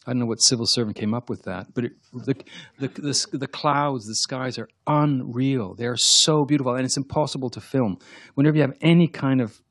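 A man's voice: medium pitch, fairly quick, no room sound, studio quality. A French-Canadian man from Quebec, a novelist talking casually on a radio show, thoughtful and digressive with frequent restarts. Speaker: novelist guest. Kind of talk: radio interview, casual discussion.

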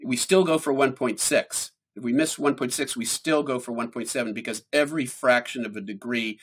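Husky man explaining science calmly. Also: medium pitch. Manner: measured, explanatory, emphatic on key points. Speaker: climate scientist guest. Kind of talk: explanatory interview answers.

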